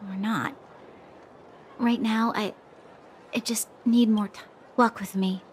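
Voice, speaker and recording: An actress playing an earnest, dutiful young female officer in a video game, speaking in a measured, formal, reflective way. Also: a little high, steady tone, a little hiss or hum. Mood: troubled, hesitant, then wistful. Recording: faint music underneath.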